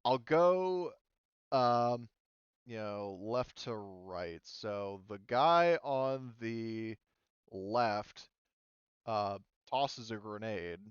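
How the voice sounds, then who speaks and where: A man's voice: fairly low pitch, animated, very slow, clean tone, no echo. Man game master with a dull, lo-fi sound. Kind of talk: casual narrating of a tabletop roleplaying game.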